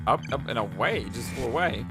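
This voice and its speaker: A woman's voice: extremely low pitch, expressive, brisk. Stuffy-nosed woman with wry asides. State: stuffy nose. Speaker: let's play host. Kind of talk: casual gameplay commentary. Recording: game music behind the voice.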